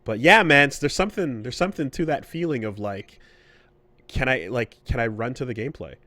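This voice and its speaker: A man with a mid-range voice hosts a podcast in a dry, close sound. He chats casually and haltingly, reworking his phrases as he thinks out loud. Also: medium pitch, animated, dry recording. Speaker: podcast host. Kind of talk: casual conversational podcast chat.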